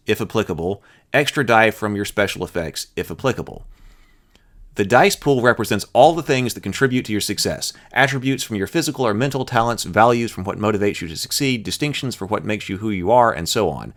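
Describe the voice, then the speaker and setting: A man's voice: lowish voice, a bit bright. A man reading a tabletop game rulebook aloud as a tutorial host, explaining it and adding his own off-the-cuff remarks. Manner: explanatory, thinking aloud between passages. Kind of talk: read-aloud rules tutorial with off-the-cuff commentary.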